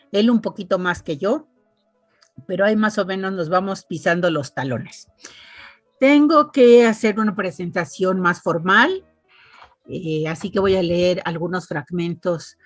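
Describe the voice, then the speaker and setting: A woman's voice: lowish voice, dry recording. A woman hosting an online interview, chatty and reading a guest's résumé aloud with asides. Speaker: interviewer and host. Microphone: video call.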